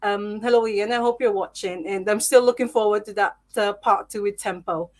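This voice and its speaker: A woman's voice: medium pitch, steady tone, normal speed, a little mellow. A woman hosting a live podcast, talking nervously with many hesitations and small laughs. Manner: nervous, lots of ums, giggly. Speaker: podcast host.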